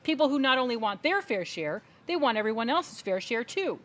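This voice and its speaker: A woman's voice: fairly high pitch, big pitch swings, brisk. A woman playing an indignant, crusading adult sister in a comedic audio play, speaking in a dramatic, emphatic, speechifying way. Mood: alarmed, ranting. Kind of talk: comedic audio theatre acting.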